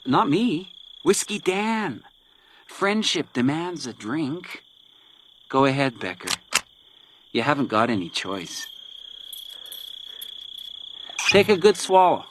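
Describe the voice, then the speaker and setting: Swooping, high-voiced male radio-drama villain. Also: big pitch swings, slow, long pauses, noisy background. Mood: mocking menace. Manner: mocking, theatrically playful. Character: sadistic, taunting male villain who plays deadly games. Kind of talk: radio crime drama acting.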